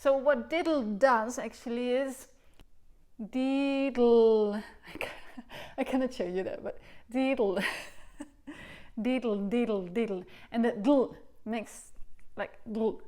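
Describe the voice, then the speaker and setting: A woman in her thirties with a Dutch accent, teaching recorder technique from her sofa and sounding out the syllables slowly as she demonstrates. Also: fairly high pitch, a little mellow. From Netherlands. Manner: demonstrates syllables by singing and speaking them slowly. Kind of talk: instructional video lesson. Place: living room, seated on a sofa.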